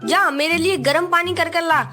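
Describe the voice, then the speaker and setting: A woman's voice: very high voice, steady tone, moderate pace, bright, forceful tone. A woman playing a bad-tempered old mother-in-law who scolds and hurls insults at everyone. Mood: shrieking abuse. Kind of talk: comedy sketch acting. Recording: clear music behind the voice.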